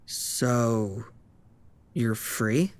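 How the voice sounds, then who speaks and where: A man's voice: mid-range voice, drawn-out words, a little hiss or hum. A man playing a cautious, newly turned vampire in an audio drama, speaking tentatively and informally. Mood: curious.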